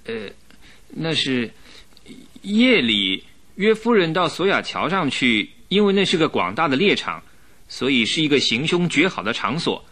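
A man's voice: fairly high pitch, very animated, normal speed, pushed and bright, full character voice. A man acting an earnest police inspector in a radio drama, explaining politely with some hesitation.

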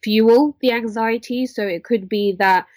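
A young woman explaining earnestly and conversationally as a podcast guest, often softening her phrases as she goes. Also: fairly high pitch, measured pace, no room sound, clean studio sound. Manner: earnest, explanatory, hedging with "sort of". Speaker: podcast guest, mental health worker.

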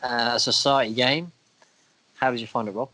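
Man, croaky voice, casual podcast co-host, chatty and self-deprecating. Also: medium pitch, fairly even intonation, moderate pace, bright, forceful tone, dry recording, rough recording. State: croaky voice from a cold. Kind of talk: casual chat.

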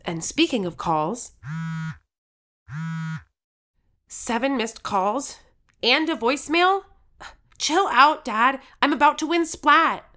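Woman as cocky 13-year-old game show kid, excitable, bright and fairly high, audio drama narration. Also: measured pace, heavily acted. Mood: proud, cocky. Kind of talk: scripted audio drama, first-person narration with acted lines.

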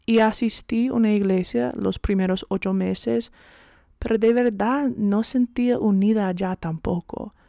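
A woman's voice: mid-range voice, steady tone, unhurried, creaky, mellow, no room sound, clean studio sound. A woman whose first language is English hosting a personal devotional podcast, earnest and a little self-conscious, sometimes dropping to a whisper. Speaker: podcast host.

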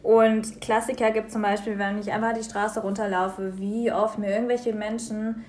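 A young woman from Germany co-hosts a radio talk show in a relaxed, chatty way. She tells everyday stories with energy and acts out the people in them. Her voice sits in a middle pitch. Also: moderate pace. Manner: lively storytelling, acts out quoted people. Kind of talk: casual radio chat.

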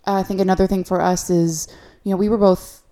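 A woman's voice: mid-range voice, little pitch movement, quick pace, warm tone. A young adult woman co-hosting a podcast in casual, conversational chat. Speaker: podcast co-host.

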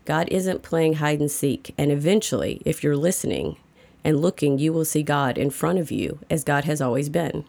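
A woman's voice: deep voice, expressive, normal speed, vocal fry, dark tone. A woman reads a scripted daily devotional as its narrator. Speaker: devotional reader.

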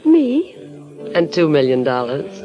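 A woman's voice: deep voice, big pitch swings, a little slow. An actress playing a coy, scheming young woman who holds a gun on a man in an old radio drama. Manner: coy, teasing. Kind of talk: 1950s radio acting. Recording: dull old radio broadcast with music.

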